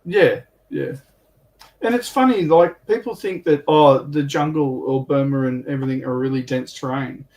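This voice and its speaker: A man's voice: mid-range voice, moderate pace, a little mellow. A man chatting informally and anecdotally as a panelist on a livestream, picked up by a distant microphone. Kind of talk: casual chat about military history and tabletop wargaming.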